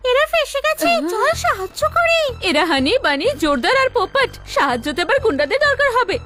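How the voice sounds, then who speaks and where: A woman voicing an excitable young news reporter in a cartoon dub, eager and dramatic. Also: very high voice, brisk, over loud music. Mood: plotting.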